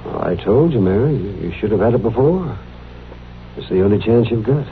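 An actor playing a kindly, modest frontier doctor in a radio western, with a hesitant, folksy way of talking. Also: male voice, on the low side, animated, fairly quick. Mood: gentle, steady. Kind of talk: old-time radio western acting. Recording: tinny, noisy old radio recording.

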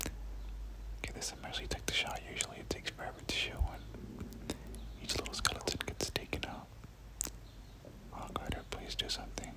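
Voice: moderate pace, long pauses, rough recording. A person whispering casual, slangy ASMR gameplay commentary, muttering reactions and repeating short phrases. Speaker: gaming video creator.

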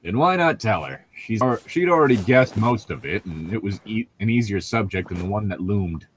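A man's voice: fairly low pitch, expressive, normal speed, slight background noise, rough recording. A man chatting casually with friends and reading aloud, with a wry, joking manner. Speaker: co-host reading a book aloud for commentary. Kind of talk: casual chat and read-aloud among friends.

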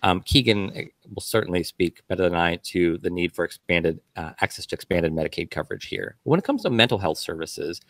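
A man speaking as a healthcare guest on a panel podcast, measured and hedging with fillers. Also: low-pitched, very animated, gravelly, a bit bright, no echo.

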